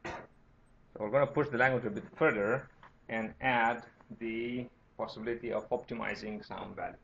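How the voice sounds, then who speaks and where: A man's voice: medium pitch, fairly even intonation, normal speed, vocal fry, fairly bright tone. A man from Romania with a Romanian accent, lecturing informally as a university teacher and often checking in with "right?" and "okay?". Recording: dull, lo-fi lecture recording.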